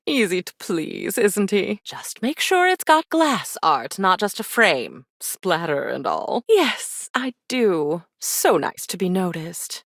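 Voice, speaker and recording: A woman's voice: medium pitch, dry recording, clean studio sound. Theatrical, swooping, darkly elegant lady. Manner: arch, drawling, teasing endearments. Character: darkly elegant, theatrical woman, living embodiment of memories. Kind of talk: video game character acting.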